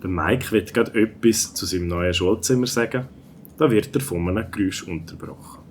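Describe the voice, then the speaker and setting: Casting: man, fairly deep, Swiss German storyteller for a kids' audio play, slight ambience behind. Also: a little fast, a little hiss or hum. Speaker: narrator. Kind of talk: scripted children's story narration.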